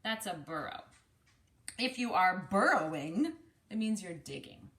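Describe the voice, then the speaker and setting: Female children's read-aloud teacher, explanatory, speaks straight to young listeners, highly animated intonation. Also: a little slow, roomy sound. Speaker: speech-language pathologist reading to children. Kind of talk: picture book read-aloud with vocabulary teaching.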